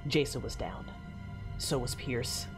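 A woman's voice: very deep voice, slow pace, music in the background. A woman acting a grieving, enraged female warrior who narrates her own fight with brooding intensity in an audio drama. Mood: grim recollection.

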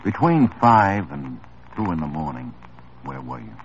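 An actor playing a hard-nosed police detective in an old radio drama, interrogating with quick, probing questions. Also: male voice, very deep voice, big pitch swings, a little hiss or hum, full character voice. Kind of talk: 1950s radio drama acting. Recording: muffled old radio broadcast.

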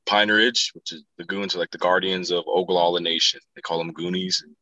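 Man, very low voice, bright tone, casual rambling chat, dull lo-fi sound. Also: steady tone, fairly quick, dry recording. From South Dakota. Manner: laid-back, laughs often, rambling storyteller.

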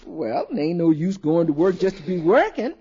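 A woman playing a practical, good-humored housekeeper in a 1950s radio comedy, folksy and wry with a husky voice. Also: lowish voice, very animated, warm tone, full character voice. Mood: wry, skeptical. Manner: folksy, wry, deliberate punchlines. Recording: dull vintage 1950s radio recording.

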